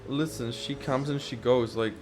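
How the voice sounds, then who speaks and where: A man's voice: mid-range voice, fairly even intonation, moderate pace. A man casually commenting while playing a story game, impatient and sarcastic, swearing and mumbling reactions. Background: game music.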